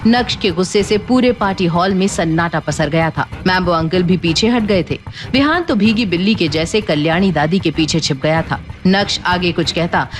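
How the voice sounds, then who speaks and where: A woman's voice: fairly low pitch, normal speed. A woman narrating a scripted drama story in a dramatic storytelling style.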